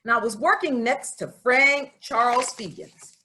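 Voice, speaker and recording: A woman's voice: on the high side, unhurried, pushed and bright, voice pushed up, poor audio. A woman voicing a stubborn, earnest farm girl of about twelve who narrates a children's storybook in a plain, folksy, confiding way.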